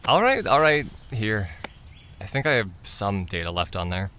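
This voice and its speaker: A woman playing an unsure but excitable young brother in an audio drama. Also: extremely low pitch, normal speed, slight background noise, heavily acted. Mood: subdued. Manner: hesitant, earnest.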